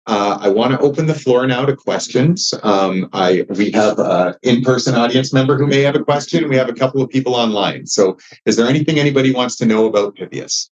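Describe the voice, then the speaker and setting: A man giving an informal presentation as a software developer, wordy and encouraging as he moderates for the audience. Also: mid-range voice, a little fast, few pauses, highs cut off.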